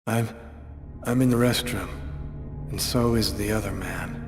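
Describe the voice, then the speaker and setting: A man's voice: on the low side, a little slow, close to an everyday voice. A man voicing a frightened, bewildered young man under hypnosis in a video game, speaking hesitantly as if haunted. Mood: entranced. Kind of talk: dramatic video game acting. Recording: loud music behind the voice.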